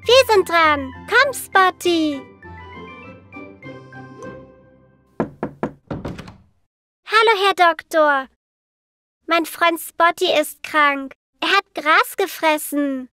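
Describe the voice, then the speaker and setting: A cheerful little girl in a children's cartoon. The voice is very high and childlike, and she speaks slowly and simply, as if guiding young viewers. Music plays behind her. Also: pushed and bright, heavily acted. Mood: polite, worried. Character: cheerful, caring little girl with a pet dog.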